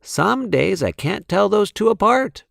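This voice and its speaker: A man's voice: high voice, very animated, measured pace, gravelly, fairly bright tone, dry recording, studio quality, voice pushed up, exaggerated voice. A man voicing a fond, observant mouse mother from a children's storybook. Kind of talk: children's storybook character reading.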